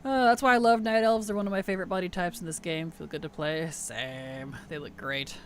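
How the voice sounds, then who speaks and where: A woman game streamer chatting casually and playfully on a livestream, her tired voice starting to weaken and turn gravelly. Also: fairly low pitch, fairly even intonation, brisk, a little hiss or hum. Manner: playful, teasing, chuckles often. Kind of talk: casual livestream chat over gameplay.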